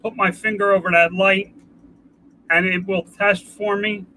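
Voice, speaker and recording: Older man, bright and congested. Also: sits high, level tone, slight background noise, low-quality recording. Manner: rambling, halting with restarts. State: congested, nasal. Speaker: vlogger. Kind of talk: casual home video update. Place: outdoors.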